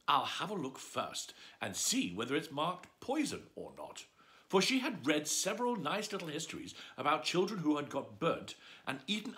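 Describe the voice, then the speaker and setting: A man's voice: a little high, a bit bright. A man reading a children's story aloud as a bedtime storyteller, with a theatrical, swooping delivery.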